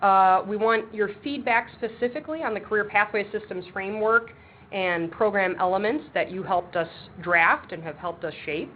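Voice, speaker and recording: Woman chairing a webinar, brisk and practical, heard over a thin phone line with faint hiss. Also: mid-range voice. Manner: says she has a loud voice; brisk, practical, with frequent ums. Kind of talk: webinar presentation. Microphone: speakerphone on a table. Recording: tinny phone line with faint background noise.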